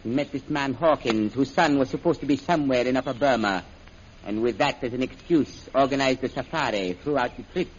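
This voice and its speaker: An actor playing a menacing, calculating foreign jungle prince with formal, measured, imperious speech in an old radio serial. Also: male voice, medium pitch, natural voice. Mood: smooth, explanatory. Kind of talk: 1930s American radio serial acting. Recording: muffled, noisy old radio recording.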